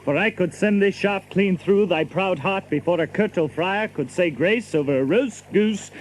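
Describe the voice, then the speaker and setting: Proud, merry outlaw archer for audio drama, male actor: high voice, faint noise behind, boastful flourish. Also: moderate pace, natural voice, rough recording. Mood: indignant. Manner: boastful, animated, theatrical. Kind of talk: audio drama acting in archaic English.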